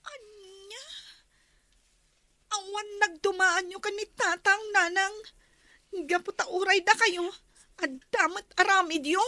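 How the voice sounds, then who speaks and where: An actress playing an earnest, pleading young student daughter in a radio drama, respectful but plaintive. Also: female voice, very high voice, steady tone, slow, pauses often, pushed and bright, exaggerated voice. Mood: upset, reproachful.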